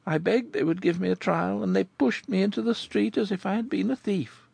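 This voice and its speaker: A man's voice: sits high, brisk, gravelly, a little mellow, breathy edge, dry recording, pitched above the performer's own voice, heavily acted. A man voicing a sorrowful sixteen-year-old girl in an audiobook, with a plaintive, sighing delivery. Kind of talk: audiobook character reading.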